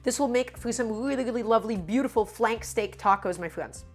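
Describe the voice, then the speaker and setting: A woman's voice: on the high side, brisk, soft background music. Sniffly, chatty woman hosting a cooking stream. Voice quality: a bit nasal. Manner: upbeat, chatty, greets chat by name. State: slightly sick and sniffly. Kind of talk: live-stream cooking lesson.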